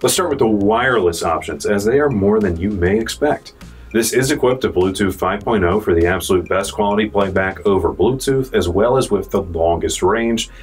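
A man's voice: lowish voice, animated, normal speed, slightly rough, faint music behind. A young American man presenting a product review in an informal, salesy way, addressing viewers as guys. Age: young adult. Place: indoor showroom studio, dark backdrop.

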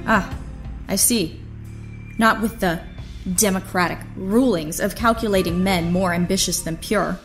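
An actress playing a defiant, idealistic young niece in an audio drama, earnest and indignant. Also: female voice, medium pitch, measured pace, faint music behind, lightly acted. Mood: accusing.

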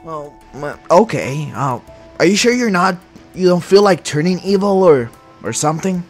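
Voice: medium pitch, lively intonation, moderate pace, faint music behind, close to an everyday voice. A performer voicing an anxious, paranoid young male superhero leader in a Minecraft roleplay, worried and hesitant. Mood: uneasy.

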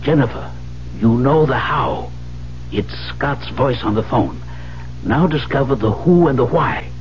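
Man acting slow, urging inner voice. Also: medium pitch, lightly acted. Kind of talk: 1970s radio drama acting. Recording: dull old radio recording with hum.

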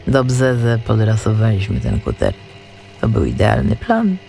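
A man's voice: lowish voice, huge pitch swings, a little slow, gravelly, mellow, soft background music, exaggerated voice. Man putting on a smug boasting crook. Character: smug male crook boasting about his plan.

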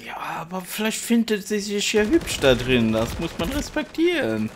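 A man talks casually in a group voice chat with a very varied pitch. Clear noise and clicking run behind his voice. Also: wildly swooping pitch, moderate pace.

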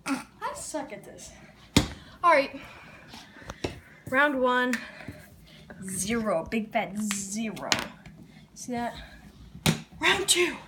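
A woman's voice: a little high, very animated, very slow, pauses often. A woman chatting casually as a vlog host, with slangy, muttered asides. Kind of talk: casual challenge vlog chat. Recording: very noisy home video sound.

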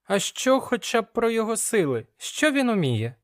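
A man voicing an anxious, excitable young apprentice magician, insistent and easily alarmed. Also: moderate pace. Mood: doubtful, questioning. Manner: high-pitched, breathless alarm, insistent questioning.

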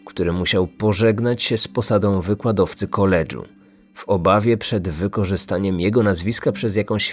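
Low-voiced man narrating true crime. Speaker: true crime podcast host. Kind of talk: scripted narration.

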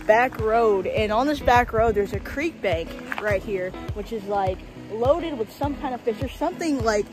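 A woman's voice: a little high, expressive, normal speed, over music. Chatty young woman fishing outdoors. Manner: chatty, talks straight to viewers. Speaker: fishing vlogger. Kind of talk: casual outdoor vlog talk. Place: outdoors by a creek. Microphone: action camera held at arm's length.

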